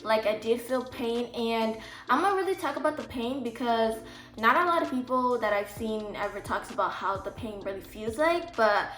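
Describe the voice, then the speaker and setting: A woman's voice: on the high side, animated, moderate pace, fairly bright tone. Woman, slight lisp, chatty, rambling, roomy echo. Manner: chatty, rambling, heavy use of "like". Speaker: vlogger. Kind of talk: casual advice vlog. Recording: echoey room with faint music.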